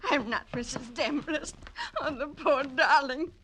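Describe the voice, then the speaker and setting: High-voiced, sobbing old woman. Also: moderate pace, fairly bright tone. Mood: grieving, sobbing. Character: distraught, devoted old woman. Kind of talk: 1940s radio acting. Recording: old radio recording with light noise.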